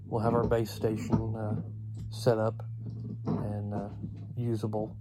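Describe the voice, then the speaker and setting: A man giving a casual, unscripted vlog update in a halting, rambling way with long pauses. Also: on the low side, monotone, heavy background noise. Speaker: video blogger.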